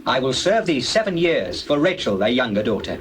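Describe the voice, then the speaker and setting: A man's voice: medium pitch, very animated, fairly quick, natural voice. Guy playing devoted young husband in bible drama, earnest, formal old wording, medium voice, birds in back. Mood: determined. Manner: earnest, formal, archaic diction. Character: Jacob, devoted, hardworking young suitor and husband, easily aggrieved. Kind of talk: dramatized scripture acting. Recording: clear background noise, birdsong and doves.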